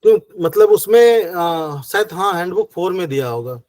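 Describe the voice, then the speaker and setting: A man with a high voice teaches over a video call, slowing down to count points step by step. His throat is sore and he fights a cough. The sound is dry and close. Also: expressive, a little mellow, no room sound. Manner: patient, counts numbers step by step. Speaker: acupressure teacher. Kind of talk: online class explanation.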